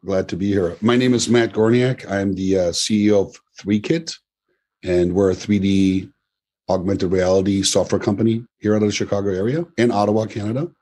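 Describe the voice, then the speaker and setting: Man, forties, from Poland and raised in Germany, low voice, loose rambling chat, podcast guest. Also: steady tone, fairly quick, mellow. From born in Poland, grew up in Germany. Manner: loose and conversational, with frequent restarts and fillers. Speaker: guest, tech company CEO. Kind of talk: podcast interview.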